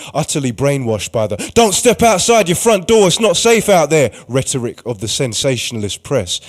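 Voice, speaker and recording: A man's voice: mid-range voice, a little mellow. A man reading scripted, dramatic narration for a radio play. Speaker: narrator and writer-performer.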